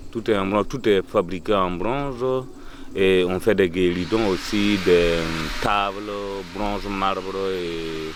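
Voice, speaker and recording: Man, lowish voice, hesitant, faint noise behind. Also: steady tone, takes it slowly. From Burkina Faso. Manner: hesitant, with many fillers and restarts. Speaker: bronze-casting workshop leader. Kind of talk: documentary interview.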